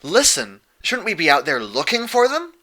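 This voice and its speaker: A brash young female pony, acted out by a male narrator in an audiobook. The voice is raised in pitch and very sharp, with an excitable, impatient push behind it. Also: normal speed, full character voice. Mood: frustrated. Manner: protesting, blunt, excitable. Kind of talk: fan fiction audiobook character voice.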